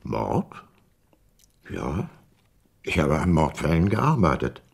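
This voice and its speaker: Very deep, gravelly detective. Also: male voice, wildly swooping pitch, slow pace, full character voice. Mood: sober, concerned. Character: sober, concerned male detective questioning a client. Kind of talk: naturalistic radio drama acting.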